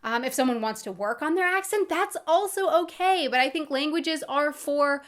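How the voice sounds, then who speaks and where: A young American woman talks straight to camera in a chatty, expressive vlog style and laughs easily. Her voice sits high for a woman. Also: moderate pace. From United States. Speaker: vlogger. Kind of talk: casual vlog talk to camera.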